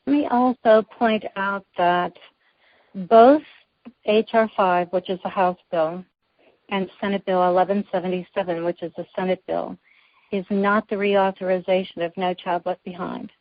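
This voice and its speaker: A woman's voice: mid-range voice, fairly even intonation, moderate pace, no room sound. A woman guest on a phone conference call, explaining policy in an emphatic, methodical way. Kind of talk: explanatory political talk. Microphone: telephone. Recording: dull lo-fi phone conference line.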